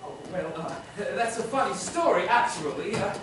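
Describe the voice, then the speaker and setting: A man's voice: high voice, big pitch swings, normal speed, recorded from a distance, background noise. A male actor playing a naive, dazed, lovestruck young groom, earnest and bumbling, in old-fashioned musical comedy style. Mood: dreamy. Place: theatre stage. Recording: echoey theatre recorded from afar, music.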